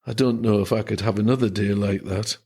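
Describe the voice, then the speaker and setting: A man's voice: on the low side, steady tone, fairly quick, a little mellow, husky, voice pushed down. A man voicing a nervous, shaken schoolboy in a story reading. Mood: worried.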